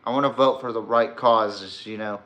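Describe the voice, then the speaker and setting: A man's voice: lowish voice, steady tone, moderate pace, fairly bright tone. A man hosting a podcast, giving casual, opinionated commentary in a rambling, blunt and emphatic way. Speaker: sports podcast host.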